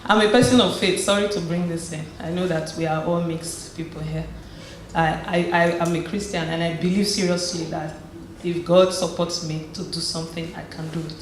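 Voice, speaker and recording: A woman from Nigeria, giving a conversational personal testimony as a guest speaker and encouraging her audience, often restarting her phrases. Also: lowish voice. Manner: conversational storytelling with frequent restarts. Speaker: guest speaker at a community event. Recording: very echoey hall with faint noise.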